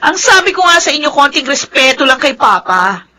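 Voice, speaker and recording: An actress playing a grieving, defiant daughter in an emotional, confrontational Filipino radio melodrama. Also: female voice, sits high, big pitch swings, a little fast, sharp tone, exaggerated voice. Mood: outraged. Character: grieving, defiant daughter fiercely defending her dead father.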